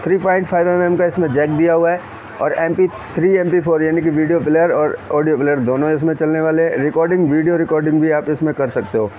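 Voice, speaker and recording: Higher-pitched man unboxing, dull noisy recording. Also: steady tone, fast. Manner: repetitive, addresses viewers directly. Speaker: tech reviewer hosting an unboxing video. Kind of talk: casual unscripted product walkthrough. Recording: dull lo-fi audio, clear ambient noise.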